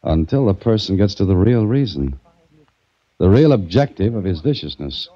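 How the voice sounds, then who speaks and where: An American man playing a calm, inquisitive adventurer, narrating a mystery in measured 1940s radio style. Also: low-pitched. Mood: probing, concerned. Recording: muffled old-time radio recording, music behind.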